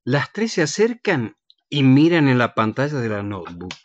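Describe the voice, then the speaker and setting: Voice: medium pitch, very animated, normal speed. A narrator reading the scripted stage directions of a radio play, on a dull, dry recording.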